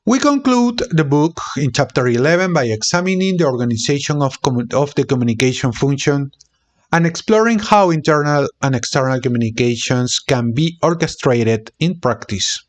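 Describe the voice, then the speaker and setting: A man reading a business textbook aloud in a deliberate, halting way, sometimes repeating words. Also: mid-range voice, lively intonation. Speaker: channel host reading a book aloud.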